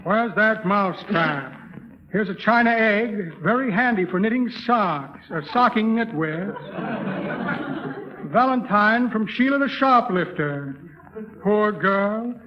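High-voiced pompous radio swindler. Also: male voice, expressive, slow. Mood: breezy, rambling. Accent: American. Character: pompous, shifty male con man, flowery and breezy. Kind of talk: 1930s radio comedy acting. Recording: lo-fi 1930s radio with audience noise.

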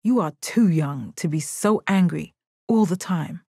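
An actress voicing a calm, stoic alien warrior woman in a video game, with measured, deadpan, formal phrasing and a confident, kind temper. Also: lowish voice, gravelly, breathy edge, no room sound, studio quality, exaggerated voice. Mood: stern, dry. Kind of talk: video game character voice lines.

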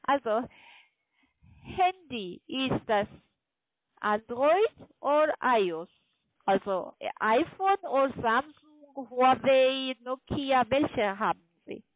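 A woman with a foreign accent speaking halting, non-native German on the phone, insistently repeating instructions as a call centre agent. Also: high voice, animated, no echo. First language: not German. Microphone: telephone. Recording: tinny, narrow phone line.

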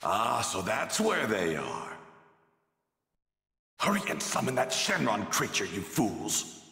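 An arrogant, ruthless alien fighter from an anime game. He snarls with a creaky edge and animated inflection, in a long hall-like reverb. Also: on the low side, slow, full character voice. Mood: scheming, contemptuous. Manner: contemptuous, snarling. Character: arrogant, ruthless male alien warrior villain. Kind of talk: anime game dub acting.

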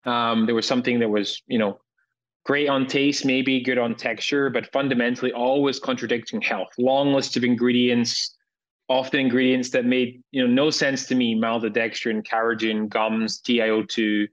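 A man's voice: mid-range voice, muffled recording. A man in his early thirties from Scotland, a company founder speaking steadily as a guest on a video-call interview. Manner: steady, measured, lists points. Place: indoor room, home.

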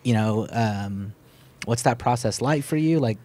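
A young man hosting an interview in a small studio, easygoing and quick to laugh at himself, into a handheld mic. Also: medium pitch, darkish tone. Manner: easygoing, laughs off his own stories. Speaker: podcast host. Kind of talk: casual interview chat. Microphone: handheld microphone and headphones.

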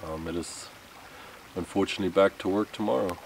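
A man's voice: low-pitched, fairly even intonation, a little fast, vocal fry. A man in his thirties talking casually and unhurriedly to camera as a camping vlogger, rambling a little and poking fun at himself. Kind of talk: casual vlog talk to camera. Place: outdoor wooded campsite. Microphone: camera a few metres away. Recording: distant mic with outdoor background noise.

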